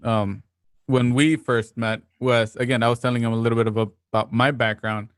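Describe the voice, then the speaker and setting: A man with a mid-pitched voice tells his experiences casually on a home video call. He explains earnestly and haltingly, and the sound is quite dry. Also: fairly even intonation, smooth, no echo. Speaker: cinematographer on a Q&A panel. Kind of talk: casual storytelling.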